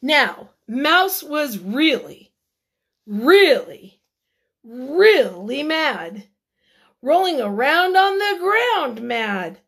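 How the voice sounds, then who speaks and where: A woman reading a picture book aloud to children, expressively and deliberately, with dramatic emphasis. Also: sits high, very animated, vocal fry, a bit bright. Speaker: children's read-aloud storyteller.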